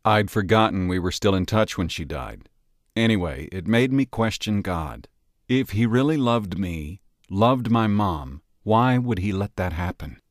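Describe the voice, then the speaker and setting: A man voicing a grieving American man, low, weary and resentful. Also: animated, measured pace, no room sound, studio quality.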